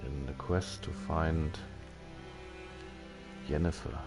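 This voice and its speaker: Slow, low-voiced man commentating a game. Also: steady tone. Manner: halting, musing, puzzled asides. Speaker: gaming video host. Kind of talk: casual gameplay commentary, thinking aloud, reading game text. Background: game music.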